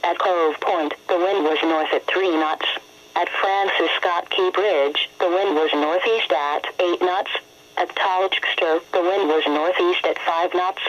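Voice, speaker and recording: A woman's voice: lowish voice, steady tone, a little slow. A woman announcer reading a scripted report of weather conditions in a formal, automated-sounding way. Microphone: radio broadcast feed. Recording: dull lo-fi weather radio broadcast.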